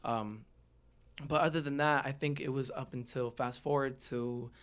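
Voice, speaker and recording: A man's voice: medium pitch, fairly even intonation, moderate pace, smooth. A young man with a Southern California American accent, telling his own story openly and informally as a podcast guest. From Los Angeles area, United States. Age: young adult. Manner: open, informal, slangy. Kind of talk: casual personal interview storytelling.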